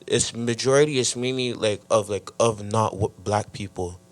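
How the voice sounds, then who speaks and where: A teenage boy speaking casually on a youth podcast, halting and leaning on "like" and "I feel like". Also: lowish voice. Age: teens. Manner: halting, heavy use of "like" and "I feel like". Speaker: podcast panelist. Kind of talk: casual discussion.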